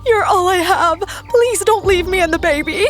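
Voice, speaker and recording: A woman's voice: very high-pitched, moderate pace, heavy background noise. A woman playing a clingy, pleading young model mistress with melodramatic delivery in a romance audio drama. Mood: desperate pleading.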